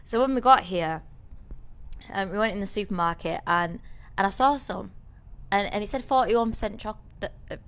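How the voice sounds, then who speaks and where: A woman's voice: mid-range voice, moderate pace, a bit bright. A woman co-hosting a podcast, telling a rambling story in casual chat, with many restarts. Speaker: podcast co-host.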